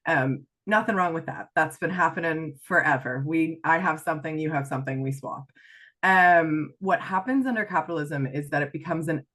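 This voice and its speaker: A woman's voice: low voice, normal speed, a bit bright. Canadian woman with a slight Irish tinge. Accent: Canadian with some Irish influence. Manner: reflective, many fillers and restarts. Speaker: guest, life coach. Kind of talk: podcast interview.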